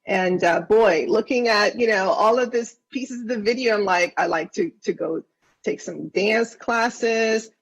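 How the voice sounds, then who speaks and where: Husky woman giving earnest council remarks. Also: medium pitch, a little slow, muffled recording. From Venezuela. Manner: earnest, effusive, repeats words when she restarts. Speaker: county councilmember.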